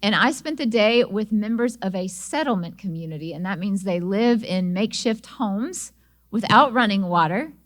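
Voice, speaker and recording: A middle-aged woman speaks at a medium pitch, telling personal stories as a speaker at an event. She sounds sincere and a little halting, and she now and then restarts a phrase before going on. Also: lively intonation. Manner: warm storytelling with small restarts. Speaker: singer-songwriter and speaker. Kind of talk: inspirational talk at an event.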